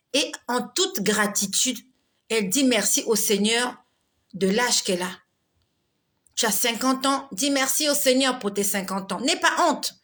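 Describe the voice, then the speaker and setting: Bright-voiced woman in her forties. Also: a little high. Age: 40s. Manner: exhorting, laughs often, chatty. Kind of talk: casual live devotional talk reading viewer comments.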